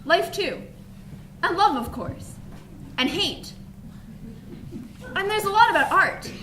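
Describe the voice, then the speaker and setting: A woman's voice: sits high, pushed and bright, heavily acted. A woman acting an earnest, pompous theatregoer in an amateur stage comedy. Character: earnest, pretentious theatregoer gushing self-contradictory praise. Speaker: student actor in a school play. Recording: echoey stage recording with faint noise.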